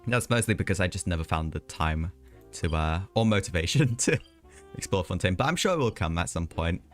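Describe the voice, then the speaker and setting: A man's voice: low voice, lively intonation, fairly quick, soft background music. A man live streaming a video game, chatting casually in a rambling way with hesitant asides.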